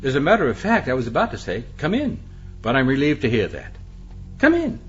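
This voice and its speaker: An actor playing a smug, scheming English gentleman murderer in a radio mystery, suave, condescending and dryly sarcastic. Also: mid-range voice, wildly swooping pitch, fairly quick, soft background music. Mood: smooth, condescending. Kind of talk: radio mystery drama acting. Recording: slightly dull, muffled audio.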